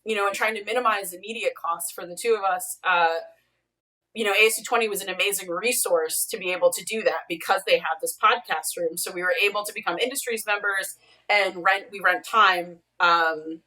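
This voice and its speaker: A woman with a bright voice at an average pitch talks casually in an interview. She is chatty and explanatory, heard from slightly off the mic on a video call. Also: fairly quick, recorded from a distance, low-quality recording. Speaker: podcast creator and showrunner, interviewed guest.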